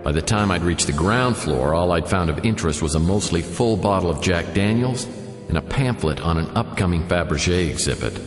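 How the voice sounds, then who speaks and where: A sardonic, hard-boiled private eye narrates in a very low voice with a lively, swinging delivery. The sound is roomy with a long reverb tail. This is a noir radio drama. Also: male voice, brisk, faint music behind. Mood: wry. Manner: dry, sardonic. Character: wisecracking hard-boiled male private detective.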